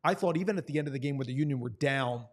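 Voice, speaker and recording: A man's voice: medium pitch, rapid-fire. A man who works as a play-by-play announcer, talking earnestly and supportively about players in a sports conversation.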